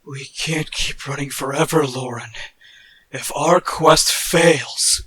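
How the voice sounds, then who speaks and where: A man's voice: a little high, steady tone, slow pace. A man voicing a stubborn, idealistic wounded knight leader in a dramatic roleplay, earnest and solemn. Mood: weary, frustrated. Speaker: voice actor. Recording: loud background music.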